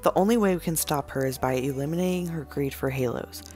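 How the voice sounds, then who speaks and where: A woman's voice: sits low, fast, darkish tone, soft background music, close to an everyday voice. A woman playing a blunt, skeptical detective in an amateur fan film. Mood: businesslike.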